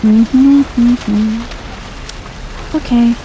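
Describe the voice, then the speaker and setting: A soft-spoken woman doing chill, playful ASMR game commentary, often close to a whisper. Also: on the high side, fairly even intonation, extremely slow, over music, poor audio. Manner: chill, playful muttering to herself. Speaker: gaming video host.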